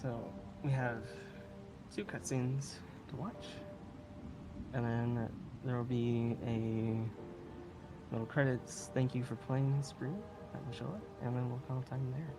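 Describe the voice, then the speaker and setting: A woman giving casual, halting live commentary as a speedrunner, in an informal way with frequent chuckles. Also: rumbling low, steady tone, music in the background, rough recording.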